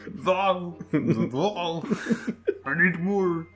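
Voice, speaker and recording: A man putting on an impression of a demanding action film star, shouting his demands. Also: sits high, extremely slow, voice pushed up, full character voice.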